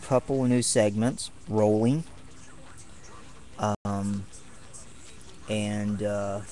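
A young man chatting casually to camera from a tent while camping, halting often with ums and pauses. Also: on the low side, fairly even intonation, warm tone. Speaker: martial arts vlogger. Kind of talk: rambling casual vlog.

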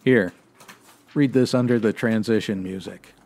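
An actor playing a clipped, deadpan American police sergeant in his thirties, in the style of 1950s radio detective drama. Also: fairly low pitch, a little slow. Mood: dry. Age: 30s.

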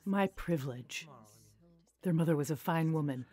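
A woman's voice: deep voice, moderate pace, dark tone. A woman playing a kindly, solicitous neighbor in a radio drama. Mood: gentle, sympathetic.

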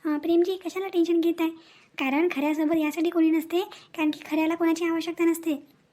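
A woman's voice: extremely high pitch, little pitch movement, fast talker, mellow. A woman voicing a reassuring, philosophical sister-in-law in a family cartoon, moralizing with frequent questioning hums. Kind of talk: cartoon family drama dialogue.

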